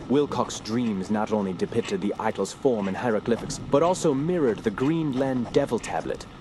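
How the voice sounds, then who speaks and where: An actor playing an earnest, scholarly investigator, narrating a radio drama in formal, measured, literary phrasing. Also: male voice, lowish voice, background noise, natural voice. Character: earnest, scholarly young male investigator narrating his inquiry. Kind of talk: scripted first-person drama narration.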